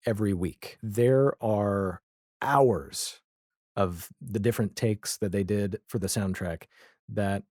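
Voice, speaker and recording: A man speaking as a podcast guest in a casual, reflective conversation, with halting, thoughtful phrasing. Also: lowish voice, mellow, no echo, studio quality.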